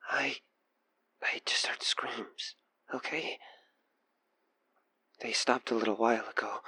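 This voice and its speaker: A man playing an obsessed, manipulative killer who coaxes the listener in an intimate audio roleplay, with halting, breathy delivery that slips into whispers. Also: mid-range voice, bright, forceful tone, no echo, full character voice. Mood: scared, shaken. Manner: hesitant, halting, breathy sighs and whispers sliding into menace. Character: obsessed male killer, coaxing and manipulative, feigning frightened innocence.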